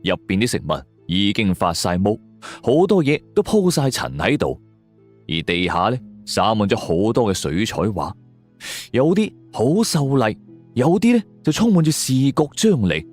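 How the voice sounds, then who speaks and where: A man's voice: on the low side, sing-song, moderate pace. A man narrating a scripted suspense story in a dramatic way. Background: background music.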